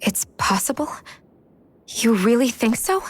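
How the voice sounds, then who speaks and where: Slow-spoken, vulnerable young heroine. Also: female voice, on the high side, lively intonation, breathy edge. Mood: tentative hope. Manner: hesitant, earnest. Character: guarded, vulnerable cursed young woman, cautiously hopeful. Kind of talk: dramatic game cutscene acting.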